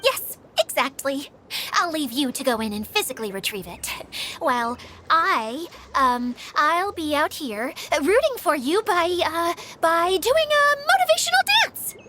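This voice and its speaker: A woman's voice: sits high, sing-song, measured pace, noisy background. A woman voicing a theatrical, easily deflated young female guide in a fantasy video game, showy and hesitant when flustered. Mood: encouraging, flustered. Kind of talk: video game character acting.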